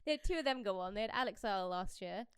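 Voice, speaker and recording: A woman's voice: medium pitch, lively intonation, quick pace, clean tone, no room sound, clean studio sound. A young British woman co-hosting a podcast, chatting informally and with opinions about pop culture. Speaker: podcast co-host.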